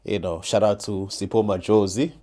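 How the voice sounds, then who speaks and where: A man in his thirties talks casually as a podcast guest. His voice sits on the low side, and he often stops to restart and repeat his words. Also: fairly even intonation, moderate pace, dark tone. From South Africa, KwaZulu-Natal. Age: 30s. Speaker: podcast guest, former defense attorney. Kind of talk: casual interview chat.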